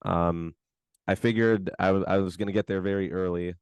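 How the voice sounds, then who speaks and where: A man co-hosting a podcast, his voice hoarse from screaming, chatting in a laid-back, slangy, rambling way from his bedroom at home. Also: low voice, fairly even intonation, moderate pace, no echo. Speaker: podcast co-host. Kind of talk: casual podcast chat.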